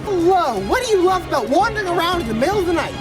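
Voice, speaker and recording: A woman hosting a street vox pop segment, bubbly and excitable. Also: very high voice, very fast. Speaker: segment host. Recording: music underneath.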